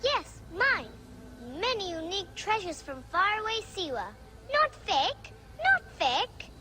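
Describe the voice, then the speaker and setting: Very high-pitched, wheedling market trader. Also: female voice, big pitch swings, slow, bright, forceful tone, faint music behind, exaggerated voice. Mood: insistent. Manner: clipped broken sentences, insistent sales pitch. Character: wheedling woman market trader hawking dubious treasures. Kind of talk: video game character acting.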